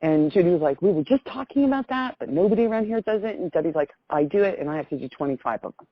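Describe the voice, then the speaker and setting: A woman's voice: lowish voice, lively intonation, fast talker, no room sound. Muffled phone call, effusive American woman. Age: middle-aged. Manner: effusive, rambling storytelling. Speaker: phone caller. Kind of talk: casual spoken personal account.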